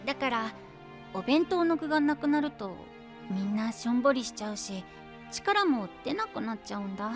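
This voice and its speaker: A man playing a cute, earnest young boy knight in an anime audio drama, speaking in soft, guileless phrases. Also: very high-pitched, sing-song, normal speed, faint music behind, exaggerated voice. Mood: worried, earnest.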